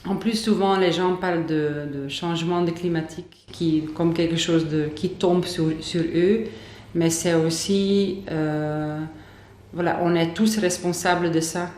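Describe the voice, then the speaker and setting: A woman's voice: fairly low pitch, steady tone, unhurried, a little mellow, a little hiss or hum. A woman giving a spontaneous interview answer, hesitant and searching for words. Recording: roomy echo on interview audio.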